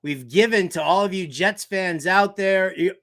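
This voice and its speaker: A man's voice: sits high, normal speed, fairly bright tone. A man hosting a sports talk show, delivering theatrical, exasperated fan commentary with emphatic repeated phrases.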